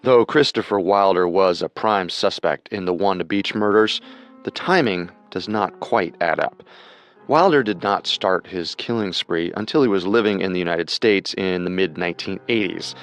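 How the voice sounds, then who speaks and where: Low-voiced man narrating true crime. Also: normal speed, faint music behind. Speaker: podcast co-host and narrator.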